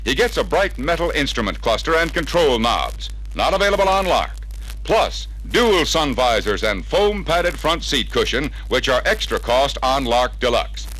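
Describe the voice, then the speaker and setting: An American man reading a scripted 1960s promotional narration in a measured, emphatic, salesmanlike way, from an old record. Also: mid-range voice, very animated, fairly bright tone, slight background noise, poor audio. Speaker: narrator of a salesman training film. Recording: vintage 33-1/3 RPM record sound.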